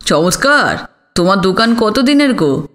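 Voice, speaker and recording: A woman voicing a genial, curious city gentleman and father in an audiobook, chatty and inquisitive. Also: medium pitch, very animated, a little slow, voice pushed down. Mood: pleased, affable. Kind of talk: audiobook character dialogue.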